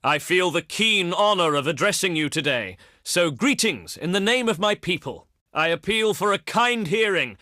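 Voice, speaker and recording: A man's voice: high voice, pushed and bright, dry recording, clean studio sound. A male actor voicing a haughty, eloquent ancient Greek diplomat in a video game, with formal, declamatory and pompous delivery. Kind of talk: theatrical game character acting, formal archaic speech.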